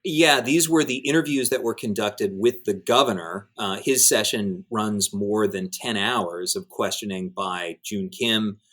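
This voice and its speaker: A man giving conversational news analysis as an editor, in a measured, wordy way with deliberate emphasis on key words. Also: fairly low pitch, a bit bright. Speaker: newspaper editor-in-chief, commentator.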